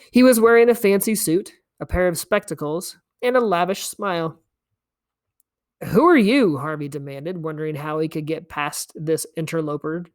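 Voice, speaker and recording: A woman reading a story aloud as an expressive narrator. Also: fairly low pitch. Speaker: story reader.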